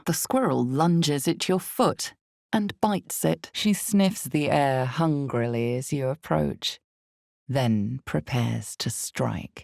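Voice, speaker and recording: An all-knowing female storyteller of a dark fantasy video game, her voice extremely low and thick with gravelly fry. She speaks slowly and deliberately, with hushed, portentous weight on each phrase. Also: no room sound, clean studio sound, exaggerated voice. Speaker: game narrator. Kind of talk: dramatic second-person fantasy game narration.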